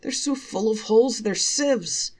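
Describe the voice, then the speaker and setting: A woman's voice: fairly high pitch, lively intonation, unhurried, voice pushed up. A woman voicing a blunt peasant cart driver in a folktale, exclaiming and grumbling. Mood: scornful.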